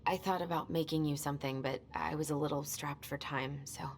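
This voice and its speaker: Actress as a low-voiced, anxious young woman. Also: fairly even intonation, brisk, slight background noise, lightly acted. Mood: apologetic, casual. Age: young adult. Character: tired, anxious young woman under strain. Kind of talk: naturalistic video game voice acting.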